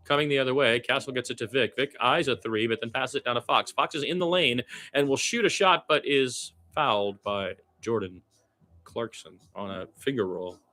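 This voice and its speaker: Casting: male basketball play-by-play commentator, average pitch, informal and partisan, excitable when the action peaks. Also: normal speed. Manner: candid, colloquial, excitable on big plays.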